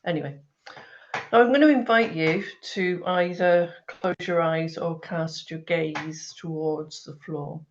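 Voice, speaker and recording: A woman guides a grounding meditation, speaking very slowly in a soothing way. Her voice is fairly low. The sound is dull and lo-fi, like an online call. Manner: slow, soothing instructions.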